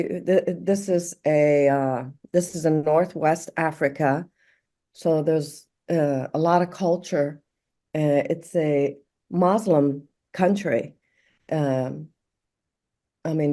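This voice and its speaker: A woman from Morocco, a podcast guest, speaking warmly and reflectively, halting often with fillers and restarts. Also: low-pitched. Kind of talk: casual interview conversation.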